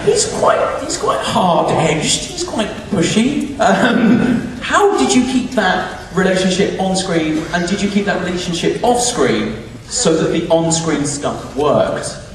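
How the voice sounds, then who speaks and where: A chatty man with a fairly high voice moderates a film Q&A. He repeats words as he forms questions. The sound is heavily echoing and distant, with faint noise. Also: big pitch swings, slow pace, hardly any pauses. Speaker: Q&A moderator on stage. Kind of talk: live film panel hosting. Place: large cinema auditorium, recorded from the audience. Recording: echoey cinema hall, distant mic, noisy.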